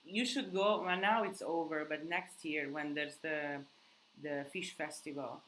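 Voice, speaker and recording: A woman's voice: low voice, fairly even intonation, unhurried, a bit bright, slight background noise, rough recording. A woman hosting a live Q&A in English that is not her first language, rambling casually with many restarts and fillers. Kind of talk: casual live-stream chat with viewers. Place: countryside home.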